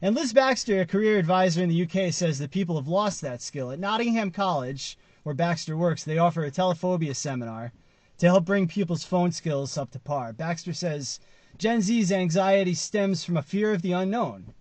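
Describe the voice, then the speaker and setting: Higher-voiced man, casual commentary, dry sound. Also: expressive, normal speed, darkish tone, no room sound. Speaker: vlogger commenting on news. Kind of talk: casual commentary to camera, part read from an article. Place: inside a parked car.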